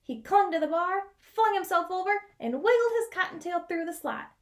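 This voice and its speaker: High-voiced woman reading a children's story. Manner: animated storytelling with dramatic pauses and drawn-out key words. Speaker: children's read-aloud storyteller. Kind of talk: expressive picture-book narration.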